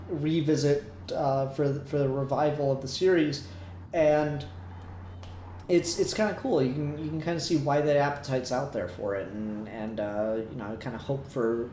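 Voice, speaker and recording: A man's voice: medium pitch, warm tone. Man chatting in an echoey room. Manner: rambling, thinking aloud. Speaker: podcast co-host. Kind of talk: casual discussion and review. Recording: roomy echo, faint background noise.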